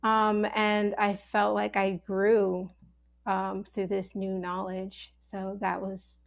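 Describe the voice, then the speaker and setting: A woman with a medium-pitched voice shares sincere thoughts in a casual panel setting. She is measured and earnest, leaving pauses between her phrases. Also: completely flat delivery, vocal fry. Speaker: actor.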